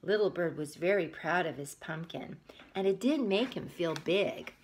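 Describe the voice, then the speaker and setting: A woman teacher reading a picture book aloud to young children, expressively, with breathy asides and questions to her listeners. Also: on the low side, animated, moderate pace, a little hiss or hum.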